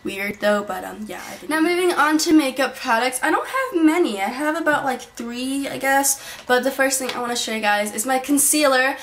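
A young woman chats through her monthly favorites in a bright, fairly high voice. She rambles, restarts and fills pauses as she goes. She is recorded on a built-in camera mic in a small bedroom. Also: lively intonation, slight background noise. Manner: chatty, rambling, many fillers and restarts. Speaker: beauty vlogger. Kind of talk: casual monthly favorites vlog. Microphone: built-in camera mic at desk distance.

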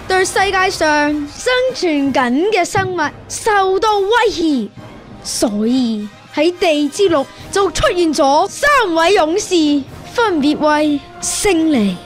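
Casting: woman as unhurried, high-pitched fairy-tale narrator, Hong Kong Cantonese, children's radio drama. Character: measured female storybook narrator of an epic fairy tale. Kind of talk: scripted children's story narration.

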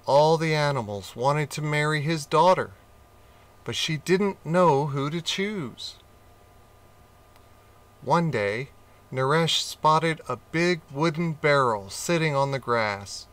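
Slow, bright-voiced storybook reader. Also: male voice, a little high, expressive. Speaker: audiobook reader. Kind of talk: storybook read-aloud.

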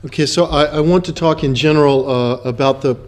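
An older American man, an activist and guest speaker, giving a talk in a conference room into a table microphone, pausing and restarting often. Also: a little high, darkish tone. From United States. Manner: halting, with frequent restarts and fillers. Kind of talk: lecture in English with consecutive interpretation.

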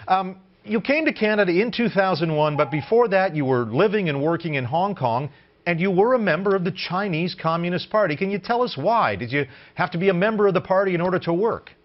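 A middle-aged male news anchor asks questions from a television studio. His voice is a bit high, and the broadcast sound is slightly muffled. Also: expressive, a little fast. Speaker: news host.

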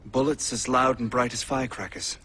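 An actor playing a courteous, formal older army colonel in a video game. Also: male voice, mid-range voice, steady tone, a bit bright, slight background noise, close to an everyday voice. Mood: earnest. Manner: formal, measured. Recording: game audio with music behind.

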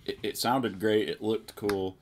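Low-pitched middle-aged man telling stories. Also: fairly even intonation, measured pace, a little hiss or hum. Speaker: musician telling his story. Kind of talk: casual storytelling chat. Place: small indoor music studio, seated at a table.

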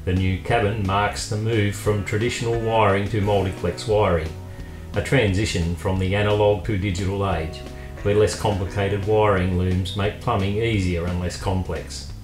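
Man, scripted narration, reviewer's voice-over, slightly deep, guitar music behind, echoey. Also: level tone, normal speed.

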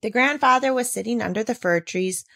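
A woman reading a classic children's novel aloud in a steady, clear storytelling manner. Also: fairly high pitch, a little fast, no room sound, clean studio sound. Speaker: reader. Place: at a table with a book.

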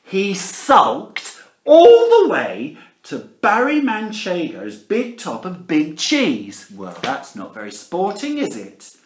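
Very animated man storytelling, slow-paced. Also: high-pitched, a little hiss or hum. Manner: theatrical, playful, addresses children directly. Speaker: primary school teacher reading aloud. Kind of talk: animated children's picture-book storytelling. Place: living room at home. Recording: roomy home camera recording.

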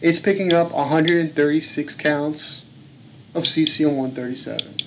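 A man's voice: on the high side, fairly even intonation, moderate pace. Blunt, swearing man on a noisy home recording. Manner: earnest, blunt, swears, speaks up insistently. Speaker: home video blogger. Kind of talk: casual filmed commentary. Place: home room.

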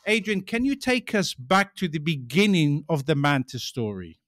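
A man's voice: fairly high pitch, big pitch swings, normal speed, dry recording, studio quality. A man with a South African accent hosting a podcast interview, chatty and full of fillers. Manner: chatty, warm-up host with many fillers. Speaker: podcast host.

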